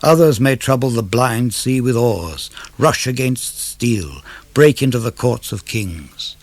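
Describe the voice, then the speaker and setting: A man reads classical poetry aloud for radio. His phrasing is measured and declamatory, with a gravelly edge to the voice. The sound is dry and intimate. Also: medium pitch, animated, no echo. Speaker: poetry reader. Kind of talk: dramatic verse reading of classical poetry for radio.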